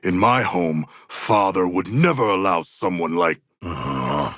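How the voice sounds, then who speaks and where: A huge humanoid shark warrior from a video game, with a raspy, gravelly voice that cuts through with bright force. He is solemn, literal-minded and hungry for battle. His phrasing is stiffly formal and grandiose, and it is recorded dry and close. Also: low-pitched, expressive, slow pace, no room sound, exaggerated voice. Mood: solemn, matter-of-fact. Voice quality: gravelly, rough. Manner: formal, stilted, grandiose diction with no contractions. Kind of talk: video game character voice lines.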